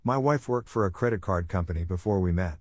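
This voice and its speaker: A man's voice: deep voice, fast, a little hiss or hum. A man reading a scripted first-person horror story as its narrator.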